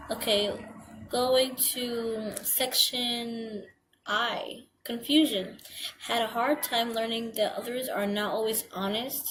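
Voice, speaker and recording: Slow-talking young woman with a girlish voice. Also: a little high. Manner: hesitant, stumbles over words, many fillers. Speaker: vlogger. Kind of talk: reading a checklist aloud and commenting. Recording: home video with clear background noise.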